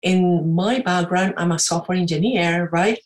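A woman tells her story as an interview guest, chatty and full of fillers and tag questions. Her voice sits on the low side and rings in an echoey room. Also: unhurried. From Venezuela. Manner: chatty, many fillers and "right?" tags. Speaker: guest entrepreneur.

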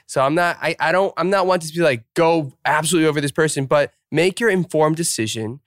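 A man's voice: a little high, fast talker, dry recording, clean studio sound. A young man hosting a podcast, upbeat and chatty, full of fillers like "like" and "um". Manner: upbeat, filler-heavy, self-deprecating. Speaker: podcast host. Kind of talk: casual interview chat.

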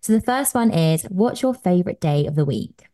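A woman's voice: on the low side, expressive, moderate pace, mellow. A woman hosting a podcast, reading an upbeat scripted introduction and then chatting with her guest. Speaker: podcast host, dietitian.

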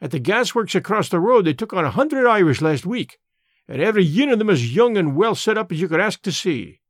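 A blunt older Scottish labour radical speaks in thick dialect, as a male reader puts him on. His voice sits high and swings widely. The recording is dry and close. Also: very animated, brisk, dry recording, heavily acted. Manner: broad dialect, forceful and opinionated. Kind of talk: audiobook character voice.

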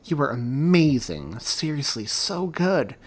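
A man's voice: on the high side, big pitch swings, slow, pitched above the performer's own voice. A man voicing a sweet, shy, encouraging young girl in a storybook reading. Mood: cheerful. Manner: soft, encouraging.

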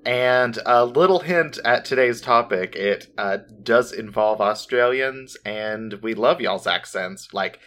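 A man's voice: mid-range voice, takes it slowly, hardly any pauses, fairly bright tone. A man with a General American accent, co-hosting a podcast in casual, rambling chat, swearing freely. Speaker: podcast co-host.

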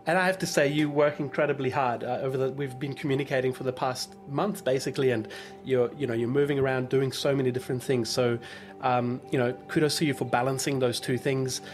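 A man hosting a podcast in a friendly, wry, measured way. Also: mid-range voice, fairly even intonation, faint music behind. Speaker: podcast host. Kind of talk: interview and scripted sign-off.

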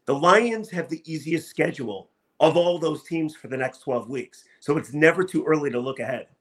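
A man's voice: on the high side, normal speed. A man hosting a sports podcast, talking and asking questions in an enthusiastic, emphatic way. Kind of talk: upbeat sports talk and interviewing.

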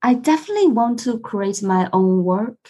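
A woman in her twenties from China, Mandarin native, chatting as an interview guest, halting and repeating herself. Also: on the high side, expressive, warm tone. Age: 20s. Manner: halting, repeats words, laughs often. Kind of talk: casual interview chat. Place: home room with a cat.

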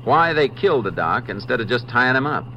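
An American actor playing a shrewd, dry-humored Texas Ranger investigator in a measured, matter-of-fact way for a 1950s radio western. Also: male voice, medium pitch, very animated, bright, forceful tone. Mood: resolute. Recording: muffled, noisy old 1950s radio recording.

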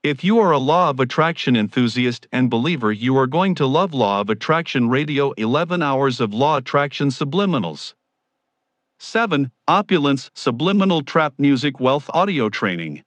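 A man's voice: medium pitch, lively intonation, normal speed, dry recording. A man delivering a scripted promotional narration in a grandiose, formal salesman's manner. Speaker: promoter of his own audio programs.

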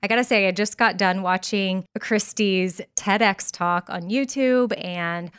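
Podcast host: woman, smooth clear voice, upbeat and friendly interview chat. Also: medium pitch, a little slow, a bit bright.

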